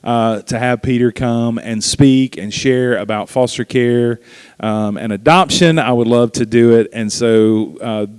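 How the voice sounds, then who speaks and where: A man's voice: mid-range voice, a little slow, a little mellow, smooth. Guy hosting an event, folksy intro for a speaker, clean resonant voice, no room echo. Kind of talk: informal spoken introduction.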